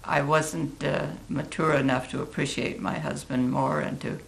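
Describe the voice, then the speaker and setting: An elderly American woman in her eighties recalling her life in a reflective interview, halting and thoughtful, with a gravelly, creaky voice. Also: deep voice, flat delivery, rough recording. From Oregon, United States. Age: 80s. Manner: halting, deliberate, thoughtful pauses. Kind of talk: reflective oral history interview.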